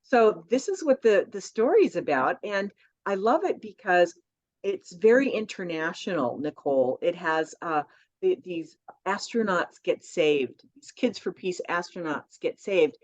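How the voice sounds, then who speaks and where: Lively woman author on a dull line. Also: on the low side, a little slow. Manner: lively storytelling with many fillers. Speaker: children's book author and guest. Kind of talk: conversational podcast interview. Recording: dull lo-fi audio.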